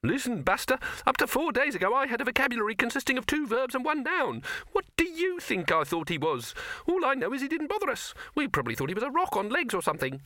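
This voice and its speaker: A man voicing a grudging, sarcastic male rabbit in a squeaky voice for a storybook reading. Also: very animated, fast talker, bright, forceful tone, dry recording, exaggerated voice. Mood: grumbling.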